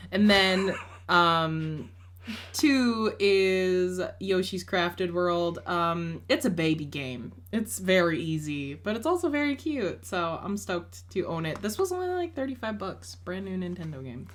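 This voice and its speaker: A woman's voice: on the low side, lively intonation, a little slow. A young woman co-hosting a casual video chat about games, upbeat and playful, laughing often. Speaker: co-host.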